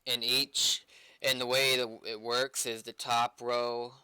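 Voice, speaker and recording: A man talking casually and off the cuff on a stream, halting and rambling, with some swearing. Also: mid-range voice, flat delivery, sharp tone, clear, resonant tone, no echo, rough recording. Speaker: streamer doing game development. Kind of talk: casual unscripted stream chat.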